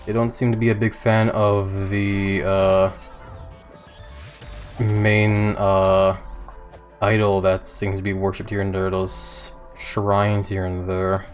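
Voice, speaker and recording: A man streaming a video game, chatting casually with his viewers as he plays, with chuckling asides and a slightly rough throat. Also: lowish voice. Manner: chatty, reads and answers chat while playing. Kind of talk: casual let's-play commentary. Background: faint game music.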